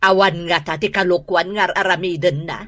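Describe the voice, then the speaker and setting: Woman acting a bright, excitable, meddling aunt. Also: on the low side, moderate pace, lightly acted. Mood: probing. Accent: Ilocano Filipino. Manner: exclamatory, emphatic. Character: nosy, excitable meddling aunt, a computer-savvy teacher. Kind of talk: Ilocano radio drama acting.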